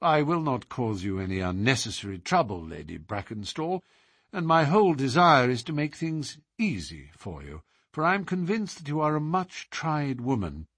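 A man voicing a courteous, assured gentleman detective with a measured, persuasive manner in an audiobook story. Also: a little high, very animated, husky, no echo, clean studio sound, heavily acted.

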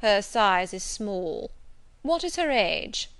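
A woman voicing a grim, solemn middle-aged clergyman in a storybook, slow, pompous and prim as he questions. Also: medium pitch, very animated, fairly bright tone, smooth, dry recording, heavily acted. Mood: solemn, probing. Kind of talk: dramatised audiobook reading.

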